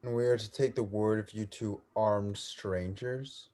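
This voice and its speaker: A man's voice: fairly low pitch, steady tone, a little slow, mellow, natural voice. A man playing a stern young ronin in a dramatic reading, speaking in a formal, commanding manner. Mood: stern, suspicious. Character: stern young male ronin guarding monastery nuns.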